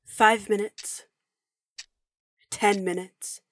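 A woman narrating an audio drama as a storyteller, with measured, expressive scripted delivery. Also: medium pitch. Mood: storytelling. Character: storyteller narrating a classic Christmas ghost tale.

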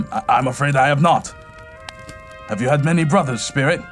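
An actor playing an excitable, haunted old miser in an audio drama, theatrical and halting, with a husky voice. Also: male voice, medium pitch, faint music behind, close to an everyday voice. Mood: nervous deference. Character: miserly old man, excitable, haunted, humbled before spirits.

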